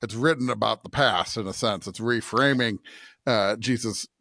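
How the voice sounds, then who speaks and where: A man's voice: on the low side, moderate pace, creaky, sharp tone, no echo, studio quality. A man co-hosting a podcast, speaking in a casual, conversational way as he discusses ideas with a partner. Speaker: podcast co-host.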